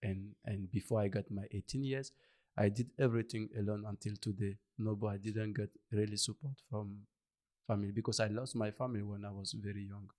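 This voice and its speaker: A man from Ivory Coast with an Ivorian French accent, a native Bambara speaker, answering interview questions in halting English and searching for words. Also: on the low side, flat delivery, mellow. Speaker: interview guest. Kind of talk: conversational interview.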